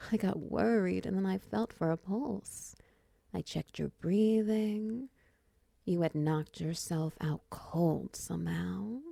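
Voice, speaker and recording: A woman playing a friendly, flirty, lonely spider woman in an intimate comfort roleplay, murmuring softly and soothingly with teasing endearments. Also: fairly low pitch, gravelly, breathy edge, no echo, exaggerated voice.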